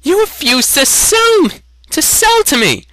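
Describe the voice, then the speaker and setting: A stubborn farm boy from a fantasy storybook, played by a man. His voice jumps very high and gets piercingly bright as he protests. He sounds strained and indignant. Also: very animated, takes it slowly, no room sound, exaggerated voice. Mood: outraged.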